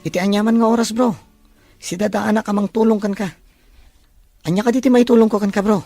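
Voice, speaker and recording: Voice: medium pitch, fairly even intonation, fast talker, dark tone, no echo, soft background music, natural voice. An actor voicing a loyal, eager young male student sidekick in an audio drama. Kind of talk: Filipino audio drama dialogue in Ilocano.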